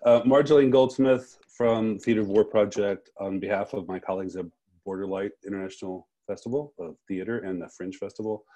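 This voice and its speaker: A man interviewing a guest conversationally, with halting, wry and wordy phrasing. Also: fairly low pitch, fairly even intonation, dark tone, low-quality recording. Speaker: interviewer, festival co-director.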